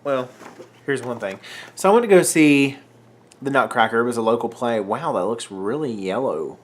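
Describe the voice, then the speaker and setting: Young guy from north carolina, casual vlog rambling, kinda croaky from a cold, lots of "like" and "um". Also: mid-range voice, expressive, moderate pace, a little hiss or hum. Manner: rambling, filler-heavy, self-interrupting. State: getting a cold, clearing throat. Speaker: vlogger. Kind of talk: casual unedited vlog chat. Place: room at home. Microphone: camera mic, facing camera under ring light.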